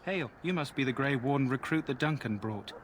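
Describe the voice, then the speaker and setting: A man acting as a helpful camp guard in a fantasy game. He is chatty and folksy at a middling pitch, with faint ambient noise behind him. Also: fairly quick, natural voice. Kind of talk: video game character dialogue.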